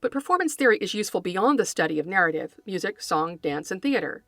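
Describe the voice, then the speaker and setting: A woman podcast host reading scripted educational narration in a measured, articulate, scholarly manner, close to the microphone. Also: medium pitch, expressive, a bit bright, no echo. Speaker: podcast host, folklore scholar and fiction writer.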